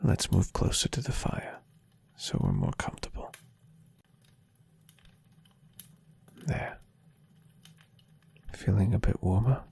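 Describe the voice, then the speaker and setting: A man voicing a tender, adoring and playfully teasing centuries-old vampire boyfriend in an intimate ASMR roleplay, speaking in a soft, husky half-whisper. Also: on the low side, huge pitch swings, a little slow, slight background noise, exaggerated voice. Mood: tender, happy. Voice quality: breathy, husky half-whisper with gravelly creak. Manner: soft, soothing, affectionate murmuring.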